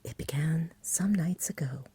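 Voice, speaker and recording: A woman voicing a frightened, fretful shepherd father from a storybook, in an agitated, rambling country dialect and a breathy whisper. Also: low-pitched, unhurried, dark tone, dry recording, exaggerated voice. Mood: nervous.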